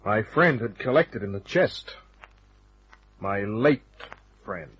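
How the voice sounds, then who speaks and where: Tough wisecracking private eye, noisy recording. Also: male voice, lowish voice, expressive, takes it slowly, dull audio. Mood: wry, narrating. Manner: sardonic, clipped. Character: tough, wisecracking hardboiled male private detective. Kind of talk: hardboiled radio drama narration and dialogue.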